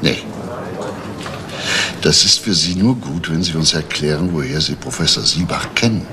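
An actor playing a firm, paternal police detective, deliberate and insistent in a crime radio play. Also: male voice, a bass voice, expressive, a crowd in the background. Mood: probing, firm. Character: calm, probing male police detective, paternal but firm. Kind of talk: German radio crime drama acting. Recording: noisy retro radio drama recording.

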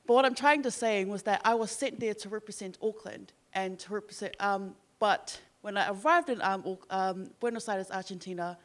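A young woman from Samoa speaks on an event stage, holding a handheld microphone. She talks in a casual, animated way, full of fillers and restarts. Her voice sits at a middle pitch for a woman. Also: moderate pace, few pauses. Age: young adult. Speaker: panel guest. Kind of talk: panel discussion. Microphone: handheld mic.

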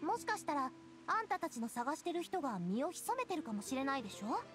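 A woman's voice: sits high, big pitch swings, fast, over music. An actress playing a confident, breezy young lady in an anime-style game, casual, direct and knowing.

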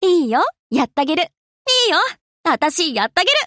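A woman's voice: sits high, animated, normal speed, a bit bright, no room sound, studio quality. A woman playing an easygoing, slangy young navy destroyer girl in an anime game, dutiful but fond of rest. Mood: breezy, casual. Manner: casual slangy girlish speech. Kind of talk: Japanese anime game character voice lines.

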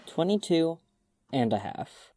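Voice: mid-range voice, very animated, slow, warm tone, soft background music. An actor playing a cheeky, friendly man in his early twenties in a casual audio drama, playful and teasing. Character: cheeky, friendly 22-year-old man, Bailey.

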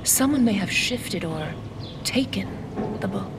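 An actress playing a mysterious, ominous watcher of dreams in a fantasy audio drama, speaking in a cryptic, deliberate way. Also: female voice, mid-range voice, huge pitch swings, background noise. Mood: grave, secretive.

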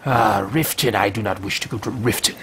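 A man in his thirties playing a haughty, greedy mage who mutters to himself in a pompous, drawn-out way, recorded at home. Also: on the low side, fairly bright tone, slight background noise, close to an everyday voice. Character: haughty male mage-thief, self-talking, greedy. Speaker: let's play host. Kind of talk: in-character game roleplay. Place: home room with bookshelves.

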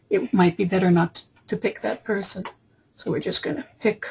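Husky-voiced woman giving a software tutorial. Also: mid-range voice, low-quality recording. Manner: explanatory, step-by-step. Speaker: software trainer. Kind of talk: screen-capture tutorial narration, semi-improvised. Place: voice-over for screen recording.

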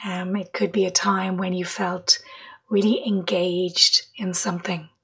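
A woman leading a guided meditation in a soft, breathy voice, gently, with long pauses between short phrases. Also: lowish voice, level tone. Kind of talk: guided meditation, slow and measured.

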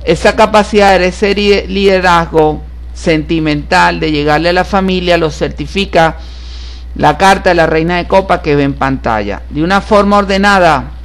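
A man's voice: sits high, normal speed, clear, resonant tone. A man giving a solemn, repetitive horoscope reading over tarot cards, stressing each point as certain. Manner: solemn, repetitive, emphatic about being certified. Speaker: tarot reader and astrologer.

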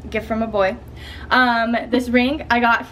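A woman's voice: fairly high pitch, flat delivery, unhurried, fairly bright tone, slight background noise, rough recording. A young woman talking to a handheld camera in a room and a car, chatty and upbeat with lots of fillers. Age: young adult. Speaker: vlogger. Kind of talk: casual chat to camera. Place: hotel room, then parked car.

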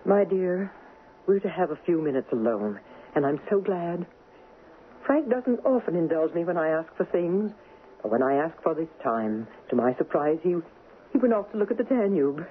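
An actress playing a gentle, resigned middle-aged mother, genteel and confiding, in a 1970s radio drama. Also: fairly low pitch, big pitch swings, moderate pace. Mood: gentle, eager. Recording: muffled, noisy 1970s radio broadcast.

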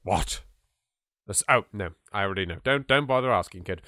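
A man's voice: lowish voice, animated, moderate pace, pushed and bright. A man with an English accent giving jokey, rambling, improvised let's play commentary. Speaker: gaming host.

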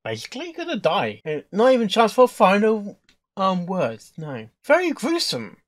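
A man hosting a reaction video with casual, informal commentary, halting and rambling as he thinks aloud. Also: sits high, huge pitch swings. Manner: halting, repeats and rambles through thoughts. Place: indoors at a computer.

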